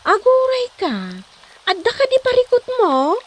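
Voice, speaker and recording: A woman's voice: extremely high pitch, sing-song, normal speed. A woman playing a persistent, proud young noodle vendor in an Ilocano comedy drama, sing-song and plaintive, with an Ilocano accent. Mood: friendly, concerned.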